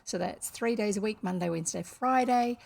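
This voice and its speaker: A woman's voice: mid-range voice, a little fast, creaky, darkish tone. A New Zealand woman streamer chatting casually to her viewers, rambling along with lots of fillers. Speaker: storytelling streamer.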